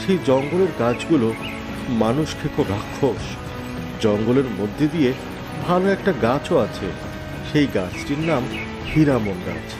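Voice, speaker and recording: A man's voice: mid-range voice, close to an everyday voice. A man playing a friendly talking lotus flower, a cursed human, speaking familiarly and earnestly in a cartoon fable. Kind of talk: Bengali cartoon fable voice acting. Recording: loud music under the voice. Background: music.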